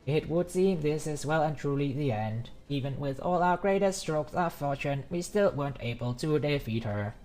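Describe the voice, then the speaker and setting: A woman's voice: low voice, darkish tone. A woman voicing a solemn, weary, defeated character from a game story, with a formal, resigned delivery.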